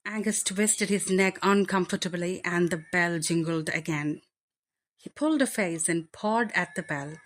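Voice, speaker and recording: Slow, lower-voiced woman reading a storybook. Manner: deliberate, expressive phrasing for young children. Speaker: storyteller reading a children's picture book aloud. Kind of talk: slow read-aloud bedtime storytelling.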